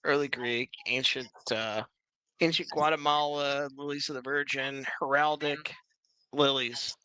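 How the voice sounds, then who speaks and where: Male discussion guest, mid-pitched, bright and forceful, casual but insistent, restating his point. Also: steady tone, unhurried, clear, resonant tone, dry recording, rough recording. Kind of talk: casual livestream debate.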